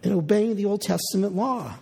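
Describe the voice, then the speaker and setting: Guy preaching a bible sermon, husky gravelly voice, long echo like a big hall. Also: high voice, fairly quick, mellow. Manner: reads scripture aloud then paraphrases it in first person.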